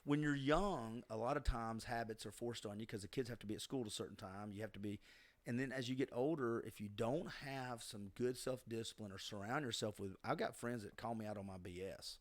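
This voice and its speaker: A man's voice: mid-range voice, fairly quick. A middle-aged man on a podcast, sharing life lessons in a reflective, conversational way. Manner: reflective, advice-giving, conversational. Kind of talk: casual podcast chat.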